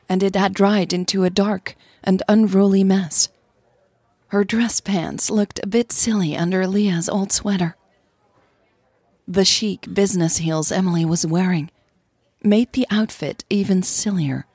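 A woman with a husky voice reading scripted story narration for an audio drama. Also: on the low side, darkish tone, dry recording.

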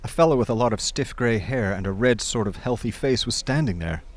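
A man playing an affable, idle upper-class gentleman, narrating a radio drama in breezy old-fashioned slang. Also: mid-range voice, lively intonation, a little fast, natural voice. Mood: wry, recounting.

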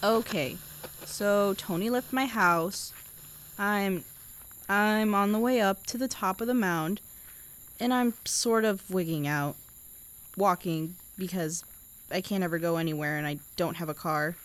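A woman playing an anxious, chatty American high school girl who rambles nervously into an audio diary in a podcast drama. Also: unhurried, close to an everyday voice. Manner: nervous, rambling, self-deprecating.

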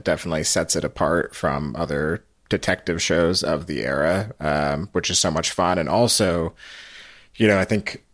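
A man hosting a podcast interview in a casual, conversational way, hedging his points with frequent little asides. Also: low voice.

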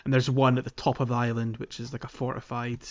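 Man, podcast co-host, mid-pitched voice, informal hedging explanations, casual game chat, slightly lo-fi sound. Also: flat delivery, fast talker. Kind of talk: casual video game discussion.

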